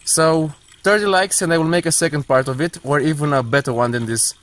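A man's voice: fairly high pitch, fairly quick, dry recording. A man in his twenties talking casually and playfully to viewers in an outdoor vlog, with informal, slightly hesitant phrasing. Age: 20s. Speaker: vlogger, paraglider pilot. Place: outdoors in hills and woods, and in flight. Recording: windy outdoor action-camera audio.

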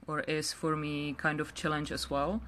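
Flat-toned young woman with a Czech accent. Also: low voice. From Czech Republic. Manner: halting, repeats words. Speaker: direct sales director telling her story. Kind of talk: unscripted personal story to camera. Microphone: phone or webcam held close.

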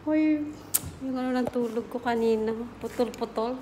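A woman's voice: fairly high pitch, normal speed, noisy background. A young woman with a Filipino accent vlogging on her phone, giggly and teasing, mixing languages. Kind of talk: casual vlog chat. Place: indoor home with stairs, later a mall. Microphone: phone at arm's length.

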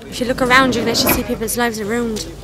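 Emphatic higher-voiced woman outdoors. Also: fairly even intonation, racing. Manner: emphatic, repeats phrases. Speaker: resident interviewee. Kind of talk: spontaneous interview talk. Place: outdoors at night. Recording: outdoor crowd noise behind.

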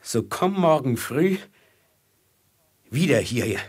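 Ein Schauspieler spielt in einem Hörspiel der 1950er einen versklavten, höflichen und leidenschaftlichen Edelmann mit belegter Stimme. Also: male voice, medium pitch, big pitch swings, takes it slowly, husky, exaggerated voice. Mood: excited, scheming. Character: noble merchant enslaved, deferential yet passionate schemer. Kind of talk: 1950s German radio play acting. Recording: 1950s mono radio drama with music.

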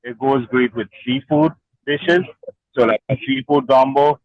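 A man from Trinidad and Tobago, a market vendor, explaining his products in a relaxed, plain way at an outdoor stall. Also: mid-range voice, a little slow, no echo, muffled recording. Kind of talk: casual interview over a video link.

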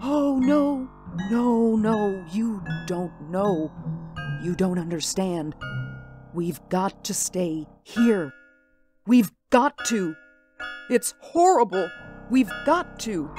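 A woman's voice: medium pitch, animated, extremely slow, mellow, soft background music. A woman playing a terrified, frantic wife in a suspense radio drama, breathless and pleading. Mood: hushed dread. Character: terrified, frantic wife hiding from alien invaders.

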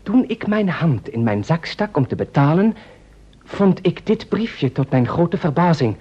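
Flustered, husky radio-play gentleman. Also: fairly high pitch, very animated, unhurried, full character voice. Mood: puzzled, excited. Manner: hesitant, fussy, polite, exclaiming. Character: flustered, apologetic, chatty gentleman witness. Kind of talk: old Dutch radio play acting. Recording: muffled vintage radio recording.

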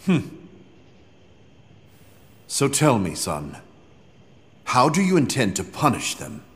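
An actor playing a stern, ruthless older father and warlord in a video game dub, with measured, weighty delivery. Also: mid-range voice, huge pitch swings. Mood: cold, probing. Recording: loud orchestral music behind voice.

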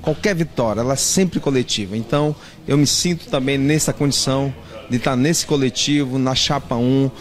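A man answering an interview in formal, rhetorical phrases. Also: mid-range voice. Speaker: campaign coordinator, academic. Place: indoor room. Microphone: handheld reporter microphone.

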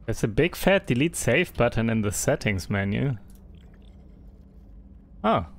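A man's voice: medium pitch, big pitch swings, measured pace. German-accented man streaming a game. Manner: chatty, reads and answers chat, hesitant fillers. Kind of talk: casual live-stream commentary.

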